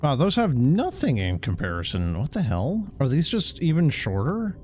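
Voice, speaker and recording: A man's voice: mid-range voice, huge pitch swings, moderate pace, a little mellow, a little hiss or hum. A man streaming a game, casually commenting and reading text aloud.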